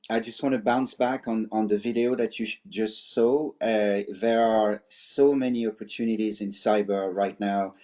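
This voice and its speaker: A man's voice: on the low side, dark tone, muffled recording. A middle-aged man from France with a French accent, giving a webinar presentation in a hesitant way, with many fillers and restarts.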